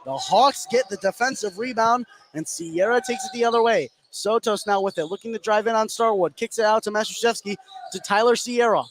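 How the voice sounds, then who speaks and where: A man with a high-pitched voice calls a basketball game live on the radio. He follows each play as it happens in an excitable manner. There is clear noise from the gym behind him. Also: a little fast. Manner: excitable, calling plays as they happen. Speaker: play-by-play sports announcer. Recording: noisy live gym broadcast.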